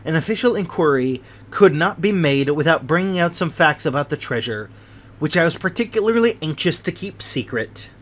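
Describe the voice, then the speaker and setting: A man voicing a dying, greedy old army major who confesses his guilt in a slow, heavy delivery. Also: a little high, natural voice.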